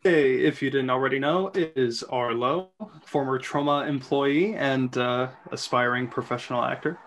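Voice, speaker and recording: A man's voice: medium pitch, moderate pace, a bit bright. A man narrating screenplay stage directions in an amateur group reading.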